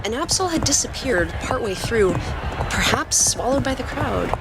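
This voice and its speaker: A woman's voice: fairly high pitch, animated, normal speed, creaky, over loud music, poor audio. A woman reading scripted fiction aloud as the narrator of a story.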